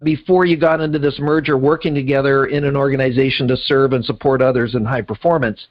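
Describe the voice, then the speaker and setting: A man's voice: mid-range voice, fairly even intonation, quick pace, muffled recording. A man hosting a conversational interview show, putting questions to his guests. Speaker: podcast host.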